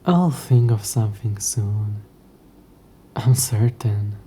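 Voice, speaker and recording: A man's voice: on the low side, slow, mellow, a little hiss or hum. A man playing an ancient, possessive demon in an intimate audio roleplay, speaking to the listener in a formal, wry, murmuring way. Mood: teasing, sly. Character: ancient possessive male demon, sardonic, curious, teasing.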